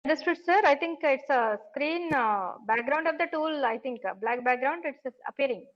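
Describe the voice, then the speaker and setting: A woman's voice: high-pitched, moderate pace. A woman coordinating a video session, answering the speaker's screen-sharing checks politely and hesitantly. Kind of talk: tech check on a video call. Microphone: video call. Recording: muffled video call audio.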